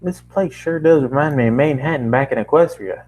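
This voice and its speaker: A man voicing a cheerful, excitable southern pony mare in a fan fiction reading. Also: mid-range voice, fairly quick, a little hiss or hum, pitched above the performer's own voice, natural voice. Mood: excited, eager. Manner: excitable, eager.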